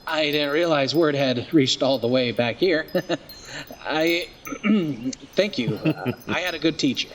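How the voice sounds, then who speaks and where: A man's voice: fairly high pitch, takes it slowly, a little hiss or hum. A man playing a modest, curious young apprentice storyteller in a fantasy audio drama. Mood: bashful.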